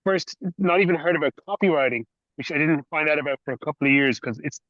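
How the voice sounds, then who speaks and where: A man's voice: on the high side, fast talker, a bit bright, no room sound, dull audio. A man from Ireland with an Irish accent, hosting an interview in a conversational style. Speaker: podcast interviewer.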